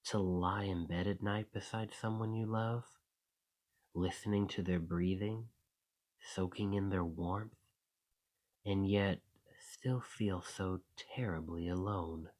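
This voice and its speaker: Slow, low-voiced possessive shapeshifter played by a man. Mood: insinuating, coaxing. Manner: coaxing, deliberate, softly menacing. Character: sinister possessive male shapeshifter monster, coaxing and menacing. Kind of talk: ASMR roleplay, intimate dramatic monologue.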